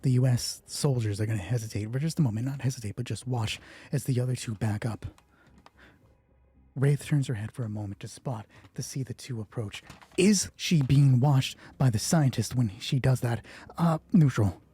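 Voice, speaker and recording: Mellow, husky man thinking aloud. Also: medium pitch, moderate pace. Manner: deliberating, asks himself questions and answers them. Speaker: solo roleplay game master. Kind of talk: narrating a solo tabletop game, thinking aloud.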